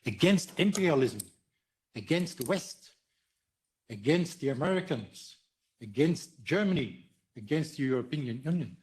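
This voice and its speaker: A man making a halting, emphatic political statement in short broken phrases. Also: medium pitch. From Belgium. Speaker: audience commenter, tour organiser. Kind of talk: impromptu political statement at a roundtable.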